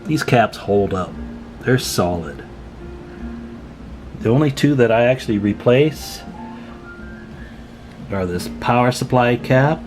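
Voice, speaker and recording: A man's voice: medium pitch, expressive, slow, pauses often, a little mellow, faint music behind. A man talking in a folksy, chatty way as he gives a casual hands-on repair demonstration at an indoor workbench. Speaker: radio repair technician and video host.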